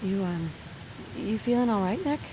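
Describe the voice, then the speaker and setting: An actress voicing a concerned, plain-spoken wasteland survivor in a video game. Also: female voice, mid-range voice, lively intonation, moderate pace, background noise, lightly acted.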